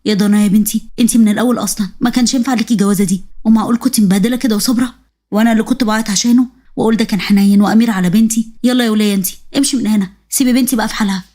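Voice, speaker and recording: A woman's voice: medium pitch, fairly even intonation, racing, warm tone, lightly acted. A woman voicing a protective middle-aged mother who reproaches her daughter's mother-in-law, speaking with an Egyptian accent. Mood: indignant. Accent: Egyptian Arabic. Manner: indignant, reproachful.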